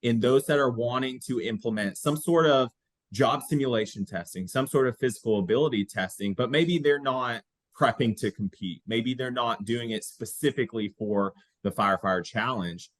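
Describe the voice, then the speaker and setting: A man's voice: medium pitch, normal speed. A man hosting an informal instructional podcast discussion, explaining in a rambling way, repeating words and checking in with "right?". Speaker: podcast host and fire academy fitness instructor. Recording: roomy, echoey sound.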